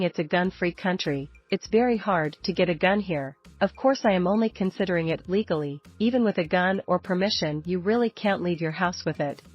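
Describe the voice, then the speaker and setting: A woman narrating forum posts in a steady, even-paced scripted reading. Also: lowish voice. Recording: dull lo-fi audio with faint music.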